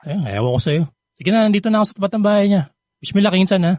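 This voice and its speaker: An actor playing an earnest, lovestruck young suitor in a Filipino radio drama, pleading and sweet-talking with a Filipino accent. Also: male voice, high voice, expressive, racing, darkish tone, no echo, studio quality. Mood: determined.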